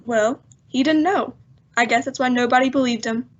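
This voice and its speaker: A woman's voice: high voice, moderate pace. A woman playing a breezy, wisecracking male newspaper man in an old-time radio drama, chatty and slangy. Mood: matter-of-fact. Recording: dull lo-fi audio.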